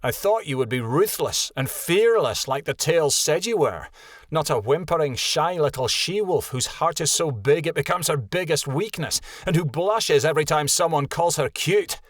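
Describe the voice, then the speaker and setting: A man's voice: on the high side, animated, normal speed, no echo, studio quality, pitched above the performer's own voice. A man voicing a cowardly, defensive young male wolf outcast in a whiny voice. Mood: scornful.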